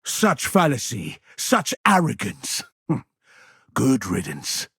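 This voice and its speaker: A man's voice: medium pitch, wildly swooping pitch, slow pace, gravelly, a bit bright, husky, no room sound, studio quality, exaggerated voice. A man voicing a stern, pious space marine zealot in a video game, condemning foes in lofty, preachy words. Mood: solemn disdain. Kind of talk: video game battle barks.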